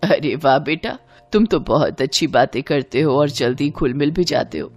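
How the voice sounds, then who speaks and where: A woman's voice: low-pitched, moderate pace, darkish tone, faint music behind, voice pushed down. A woman voicing a chatty, friendly middle-aged landlady and mother with a persuasive manner in an audio story.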